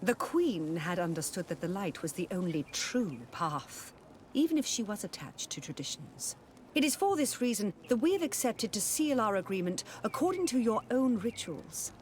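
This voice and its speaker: A woman's voice: medium pitch, big pitch swings, normal speed, darkish tone, a little hiss or hum, natural voice. A woman playing a stern, sanctimonious missionary in a video game, haughty and preachy in delivery. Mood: self-righteous, stern. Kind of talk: video game cutscene acting.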